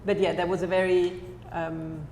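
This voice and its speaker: A woman moderates a public talk in a measured way, asking questions and summing up. Her voice sits low and stays flat, and the hall gives it a long echo. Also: a little hiss or hum. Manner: measured, asks questions, sums up. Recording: echoey hall, faint ambience.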